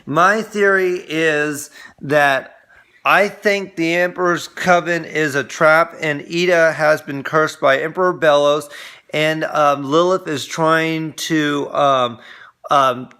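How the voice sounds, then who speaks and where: Bright-voiced man, halting and earnest reviewer. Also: a little high, fairly even intonation, clean tone. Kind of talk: casual episode review chat.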